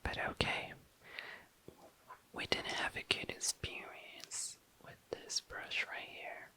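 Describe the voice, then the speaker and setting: A woman softly whispering in an unhurried way, narrating a craft session for an ASMR video in breathy, unvoiced speech. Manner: soft, unhurried, repeats phrases.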